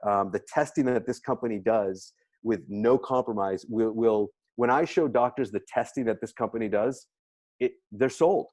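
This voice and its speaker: Emphatic man pitching health supplements. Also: moderate pace, darkish tone. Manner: emphatic, repetitive, salesy explaining. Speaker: health practitioner promoting supplements. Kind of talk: enthusiastic product presentation.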